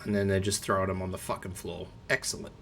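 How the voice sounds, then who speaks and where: Male streamer, hoarse and losing his voice, low-pitched, casual and sweary. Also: level tone, fast, fairly bright tone, a little hiss or hum. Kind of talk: casual live game stream chat.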